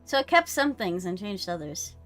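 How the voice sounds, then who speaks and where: A woman's voice: lowish voice, big pitch swings, normal speed. A young woman streamer reacting casually to a game with blunt, playful interjections. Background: soft background game music.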